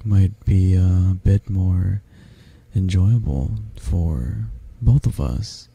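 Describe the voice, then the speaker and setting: A man playing a tall, affectionate, flirty half-dragon in an intimate ASMR roleplay, speaking gently and haltingly with a low, husky, gravelly voice. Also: full character voice. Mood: teasing, flirty. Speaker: roleplay voice actor.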